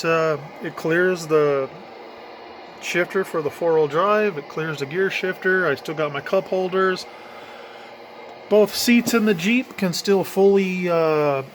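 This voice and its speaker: Casual man narrating over noticeable background noise. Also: high voice. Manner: plainspoken, informal, pointing things out as he goes. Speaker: DIY hobbyist showing his own install.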